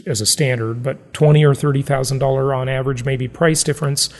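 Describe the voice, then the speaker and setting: A man's voice: medium pitch, fairly even intonation, a little fast, gravelly, a little mellow, in a large hall. A man hosting a podcast in a casual, conversational way. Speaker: podcast host.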